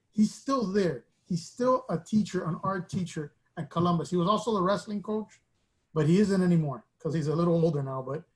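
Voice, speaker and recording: Reflective man from Miami, fairly high voice. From Miami, United States. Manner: reflective, rambling with restarts. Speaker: guest artist. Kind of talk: casual interview storytelling.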